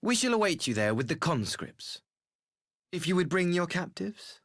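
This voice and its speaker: An actor playing a courteous, officious young imperial envoy in a dramatic game scene, formal and polite. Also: male voice, sits high, very animated, normal speed, a bit bright, no echo, clean studio sound, full character voice. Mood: polite, businesslike.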